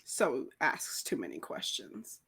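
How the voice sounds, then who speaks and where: A woman's voice: rumbling low, wildly swooping pitch, takes it slowly, vocal fry, fairly bright tone, breathy edge. A woman playing a tabletop roleplay game, talking casually as she plays her character.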